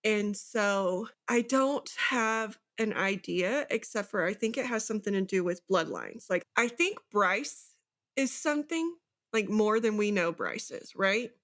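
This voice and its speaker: A woman's voice: mid-range voice, animated, a little slow, a bit bright. A woman co-hosting a casual book discussion, thinking aloud and often checking that the listener follows. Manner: speculative, thinking aloud with rhetorical check-ins. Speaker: podcast co-host.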